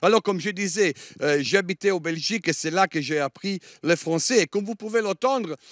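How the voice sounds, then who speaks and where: A man's voice: high-pitched, a little fast, a bit bright, no room sound, clean studio sound. A middle-aged Italian man preaching a sermon in French, with an Italian accent touched by a Liège accent, often hesitating with "euh" as he searches for words. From Italy. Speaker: guest preacher.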